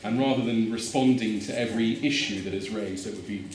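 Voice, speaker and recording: Measured man speaking in a roomy hall. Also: lowish voice, darkish tone, a little hiss or hum. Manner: measured, stammering restarts. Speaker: meeting attendee. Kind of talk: public meeting discussion.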